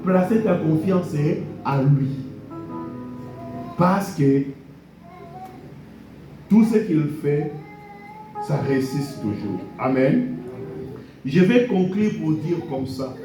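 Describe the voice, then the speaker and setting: A man's voice: on the high side, lively intonation, extremely slow, pauses often, dark tone, faint music behind. A man preaching a sermon, repeating blessings and drawing amens from the congregation. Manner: preaching with call-and-response amens; repeats key phrases and blessings. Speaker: pastor. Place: church hall. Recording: echoey hall from far off.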